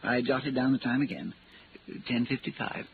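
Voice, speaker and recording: A man's voice: medium pitch, moderate pace, vocal fry, lightly acted. An actor playing a polite, precise male desk clerk in an old radio drama, deferential and slightly hesitant. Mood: earnest, helpful. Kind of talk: 1940s-50s radio noir acting. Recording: noisy, narrow old radio recording.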